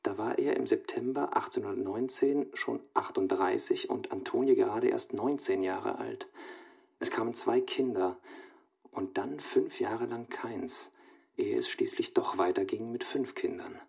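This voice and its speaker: An actor playing a studious young man dictating research notes in a radio drama, clipped, analytical and deliberate. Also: lowish voice, vocal fry, darkish tone, husky, exaggerated voice. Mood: musing.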